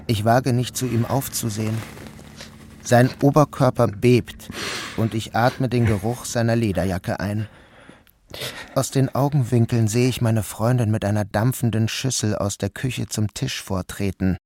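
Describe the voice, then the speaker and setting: A man giving a measured, subdued first-person narration of a novel. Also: mid-range voice, darkish tone.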